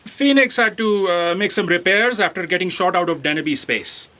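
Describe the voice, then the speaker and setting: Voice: mid-range voice, moderate pace, bright, forceful tone, lightly acted. A performer playing a deferential, literal-minded aide in a sci-fi audio comedy, hesitant and earnest. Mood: dutiful, hesitant. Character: deferential, hesitant, fact-correcting space aide.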